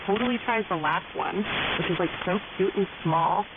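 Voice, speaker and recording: Valley girl storyteller through loud shortwave static. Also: lowish voice, moderate pace. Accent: American, Californian Valley girl. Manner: gushy, ditzy, peppered with filler words. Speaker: storyteller on a pirate radio program. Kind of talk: fairy tales retold in exaggerated Valley girl slang. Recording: hissy, narrow-band shortwave radio, very noisy. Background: loud static and hiss.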